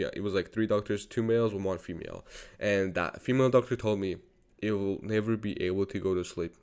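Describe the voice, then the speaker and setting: A man's voice: lowish voice, a little mellow. A young man from Georgia, a native Georgian speaker, telling a personal story in an earnest, repetitive way with frequent swearing. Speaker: vlogger. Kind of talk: personal confessional monologue.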